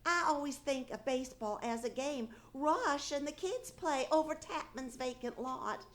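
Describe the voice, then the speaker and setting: A woman's voice: high voice, expressive, unhurried, a bit bright. A woman playing a naive, nagging, good-humoured housewife and mother, questioning skeptically in 1940s-style radio comedy. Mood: puzzled, doubtful. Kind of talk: 1940s radio comedy acting, live on stage. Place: live theatre stage.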